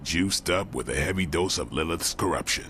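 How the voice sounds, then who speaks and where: Deep, cool action hero. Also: male voice, moderate pace, fairly bright tone, a little hiss or hum. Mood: cool, resolute. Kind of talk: video game voice acting.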